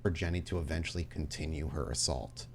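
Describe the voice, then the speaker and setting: A man narrating a tabletop roleplaying game as game master, with deliberate, suspenseful storytelling. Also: rumbling low, fairly even intonation, a little hiss or hum.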